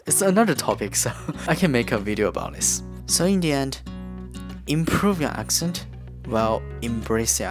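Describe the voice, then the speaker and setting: A man whose first language is Korean, from near the China–North Korea border, giving earnest, plain English-learning tips to camera. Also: lowish voice. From China–North Korea border region. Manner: earnest, plain, non-native phrasing with restarts. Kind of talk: instructional talk to camera. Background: guitar music.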